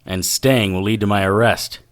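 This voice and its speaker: An actor playing a wry, hardboiled 1940s private eye in a noir radio drama, narrating and trading sardonic lines. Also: male voice, on the low side, fairly even intonation, moderate pace, natural voice.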